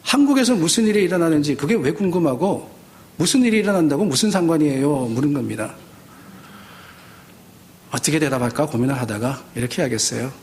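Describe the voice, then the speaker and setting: A man's voice: on the high side, lively intonation, fairly quick, darkish tone. A middle-aged man from South Korea giving a sermon as a pastor, speaking conversationally and posing questions to his listeners. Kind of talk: Sunday sermon with personal anecdotes.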